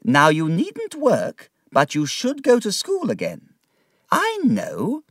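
A stern, brisk older aunt, as a man puts her on. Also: a little high, huge pitch swings, fairly bright tone, no room sound, voice pushed up, full character voice. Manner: cold, firm and commanding. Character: stern, decisive older woman, a boy's aunt. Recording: dry, distant from the mic.

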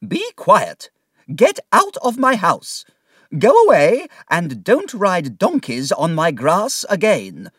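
A man voicing a stern, decisive older woman who talks coldly and firmly, raising his voice high above his own. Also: huge pitch swings, unhurried, no echo, full character voice. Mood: commanding. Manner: cold, firm and commanding. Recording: dry, distant from the mic.

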